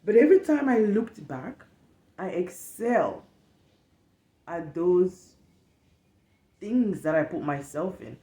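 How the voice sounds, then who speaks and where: A woman's voice: mid-range voice, warm tone. Frank Cameroonian woman in an echoey room. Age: late 20s. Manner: frank and emphatic, with swearing and repetition. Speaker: podcast host. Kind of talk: candid solo podcast monologue.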